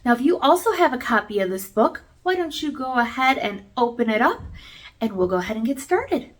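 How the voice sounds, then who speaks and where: A woman reading a children's picture book aloud in an animated, deliberate storytelling manner. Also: high voice.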